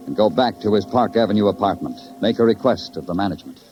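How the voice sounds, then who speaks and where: An actor playing a world-weary, sardonic police detective in a 1950s radio crime drama, with terse, poetic narration. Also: male voice, on the low side, animated, fast, darkish tone, a little hiss or hum, lightly acted. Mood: grim, terse. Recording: dull old radio recording, music behind.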